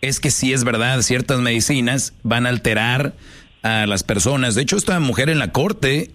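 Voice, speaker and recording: A man's voice: mid-range voice, moderate pace, fairly bright tone. A man hosting a call-in talk show, speaking informally in a blunt, confrontational and slangy way. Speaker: talk show host taking calls.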